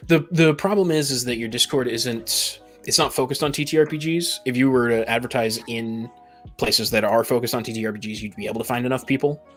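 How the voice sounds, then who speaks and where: A man's voice: mid-range voice, fairly quick, soft background music. A talkative man in a casual group chat, stammering and repeating the starts of his phrases. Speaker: tabletop game master, podcast guest.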